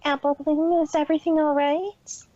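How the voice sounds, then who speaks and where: A woman's voice: high-pitched, flat delivery, normal speed, warm tone, dry recording, muffled recording, natural voice. A woman voicing a theatrical, self-important female pony in a fan fiction reading. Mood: concerned. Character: theatrical female pony, greedy, speaks of herself in third person.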